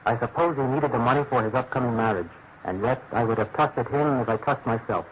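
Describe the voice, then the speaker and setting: An actor plays a British government clerk, a formal and troubled man in a radio mystery. He speaks at a middling pitch with measured, mournful deference. The sound is narrow and tinny over faint noise. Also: lightly acted. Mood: defensive, troubled. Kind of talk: classic radio drama acting. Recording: noisy, narrow-band old radio recording.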